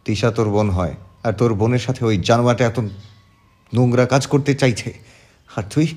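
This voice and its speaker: Hot-headed young male, played by a man, audio drama: mid-pitched voice, forceful, accusing delivery. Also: steady tone, moderate pace, lightly acted. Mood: furious, accusing. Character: hot-headed, vengeful young man berating his friend. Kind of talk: audio story acting.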